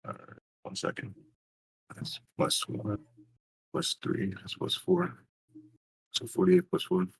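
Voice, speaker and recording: Deep voiced guy playing tabletop rpg, casual, kinda halting, thinking out loud. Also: big pitch swings, slightly rough, poor audio. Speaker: tabletop game player. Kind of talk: casual game-table chat.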